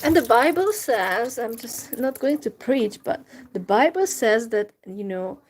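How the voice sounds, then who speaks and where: A woman with a non-native English accent chatting on a live stream, hesitant and full of fillers, breaking into soft laughs. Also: fairly high pitch, expressive, a little slow. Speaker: livestream host. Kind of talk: casual live-stream chat, reading messages. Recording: noisy background.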